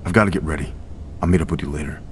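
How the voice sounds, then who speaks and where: An actor playing a wary, cynical male vampire in hiding, gruff and dismissive, in a video game cutscene. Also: a bass voice, very fast, slight background noise. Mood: grudging.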